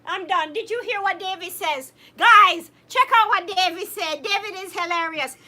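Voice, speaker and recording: A young woman from Jamaica, co-hosting a casual live chat and reading viewer comments aloud. She laughs often and talks in an animated way. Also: very high voice, moderate pace, slight background noise.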